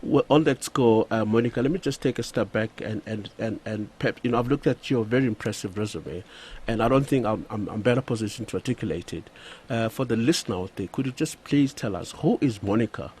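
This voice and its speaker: A man's voice: fairly low pitch, a little fast, a little mellow, slightly rough. A man hosting a radio interview, speaking with frequent hesitant fillers. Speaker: radio show host.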